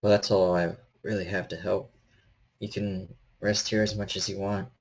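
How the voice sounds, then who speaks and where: A man's voice: low voice, little pitch movement, normal speed. A man playing a nervous, weary repairman in a tabletop game, cautious and helpful, speaking in hesitant stammers.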